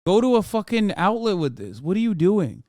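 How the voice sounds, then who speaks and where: A man's voice: high voice, sing-song, moderate pace, darkish tone, dry recording. A man in his thirties whose first language is Turkish, streaming a blunt, profane, exasperated reaction rant into a close mic. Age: 30s. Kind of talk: casual profane reaction commentary.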